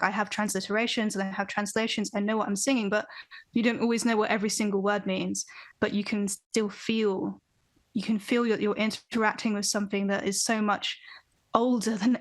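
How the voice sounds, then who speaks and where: A young woman, a band vocalist guest on a podcast, talking in a thoughtful, animated way with frequent restarts over a video call from a room at home. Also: mid-range voice. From Britain. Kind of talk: casual interview chat. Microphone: video call, webcam.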